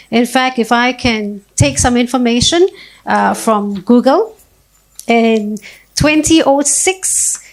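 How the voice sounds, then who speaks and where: A woman reads out a prepared citation to introduce an award winner. She speaks in a formal, measured way. Her voice sits a little high. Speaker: citation presenter.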